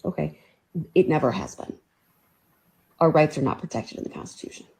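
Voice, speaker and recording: Husky woman explaining assertively. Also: low voice, very animated, moderate pace, darkish tone. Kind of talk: casual discussion.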